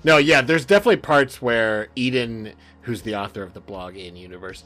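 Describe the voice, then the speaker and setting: A man's voice: medium pitch, brisk, pushed and bright. A man co-hosting a podcast in casual chat, often breaking off and restarting his thoughts as he goes. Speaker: podcast co-host.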